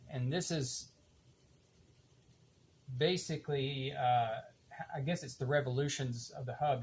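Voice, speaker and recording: An older man giving a casual, unhurried hands-on demonstration, halting now and then as he thinks aloud while working. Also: medium pitch. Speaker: demonstrator of reel-to-reel tape machines.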